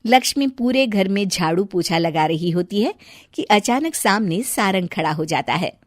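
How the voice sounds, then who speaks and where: A woman narrating a scripted story in an expressive storyteller's manner. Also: medium pitch, moderate pace, dry recording, studio quality.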